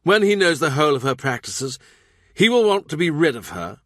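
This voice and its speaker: A man, as audiobook reader, plays a hearty king out of a historical novel. He is indignant and sentimental by turns, emphatic, with a voice lifted fairly high and a dry, close sound. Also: big pitch swings, brisk, no room sound.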